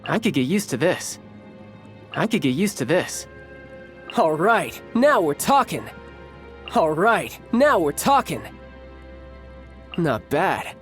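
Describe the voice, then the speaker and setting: A man voicing a cocky, friendly young warrior in a video game, with a teasing, confident manner. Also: high voice. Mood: cocky, amused. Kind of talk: video game character voice lines.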